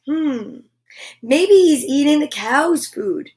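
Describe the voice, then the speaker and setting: A woman's voice: high voice, expressive, slow pace, darkish tone, pitched above the performer's own voice. A woman voicing an eager young farm girl in a children's picture book, reading her lines with wonder.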